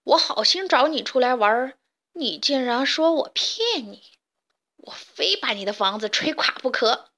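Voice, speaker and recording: A woman voicing a sly, bullying big bad wolf who calls out loudly to coax and threaten. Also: sits high, expressive, a little slow, heavily acted. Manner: loud coaxing then threatening.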